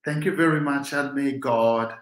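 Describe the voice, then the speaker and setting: A man's voice: medium pitch, moderate pace, a bit bright, roomy sound. A Kenyan man with a Swahili-speaking background, giving a rousing, preacher-like motivational talk as host.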